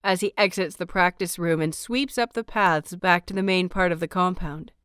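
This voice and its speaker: A woman reading a story as scripted dramatic narration, measured and deliberate, with dramatic pauses. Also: on the low side, a bit bright, clear, resonant tone, dry recording, clean studio sound. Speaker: audio fiction narrator.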